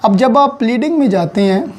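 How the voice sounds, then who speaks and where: A man's voice: very high voice, animated, a little fast. A Pakistani man giving an explanatory lecture as a teacher, setting out points step by step with examples and repetition. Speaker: law teacher. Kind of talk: explanatory lecture mixing Urdu with English legal terms.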